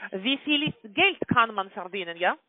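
A woman with a non-native German accent gives an explanatory phone sales pitch as a call-centre agent, often adding tag questions to confirm. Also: lively intonation, a little slow, no echo. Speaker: call-centre agent selling online trading. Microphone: telephone call. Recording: tinny, band-limited phone line.

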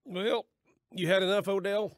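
A man's voice: high voice, fairly even intonation, moderate pace, no echo, lightly acted. An actor playing an easygoing, folksy, teasing pot-smoking male relative in an audio drama. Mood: relaxed friendly.

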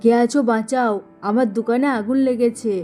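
A woman's voice: on the high side, steady tone, fairly quick, music in the background, lightly acted. A woman voicing a humble, loving, poor shopkeeper father in a cartoon, with an earnest, self-blaming manner. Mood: panicked. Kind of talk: Bengali cartoon voice acting.

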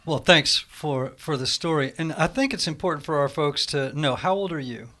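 A man hosting a podcast, asking relaxed, hesitant questions in a casual interview chat. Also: a little high, normal speed. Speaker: podcast host.

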